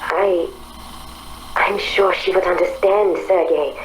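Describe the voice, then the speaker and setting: Casting: searching, sincere machine intelligence, calm and deliberate, slightly low voice, faint noise behind, game drama. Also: female voice, low-quality recording. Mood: earnest, pleading. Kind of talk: dramatic game dialogue acting.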